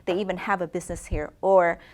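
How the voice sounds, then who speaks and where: A woman's voice: lowish voice, steady tone, fast. A middle-aged woman from Indonesia, a real estate agent answering interview questions in a hesitant way, full of fillers and restarts.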